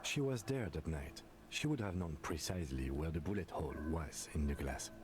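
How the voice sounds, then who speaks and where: Game role: a composed middle-aged cop, very low, measured and exact. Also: male voice, a little mellow, faint music behind. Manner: measured, dry, precise. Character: calm, reserved middle-aged male police detective. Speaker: detective partner in a game. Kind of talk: voiced game dialogue.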